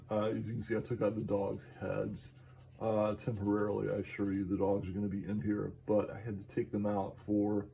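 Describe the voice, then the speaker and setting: A man talking casually while drawing, halting and enthusiastic, with many fillers and restarts, moving around his studio. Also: fairly low pitch, gravelly, warm tone, slight background noise, rough recording. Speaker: artist vlogging while drawing. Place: indoor studio, moves around the room.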